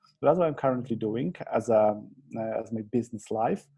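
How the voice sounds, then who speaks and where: A man's voice: mid-range voice, brisk, vocal fry, warm tone, husky. A man in his thirties from Poland with a Polish accent, a guest talking through his career in an earnest, hesitant way with many restarts. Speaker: interview guest, agency founder. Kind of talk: conversational interview.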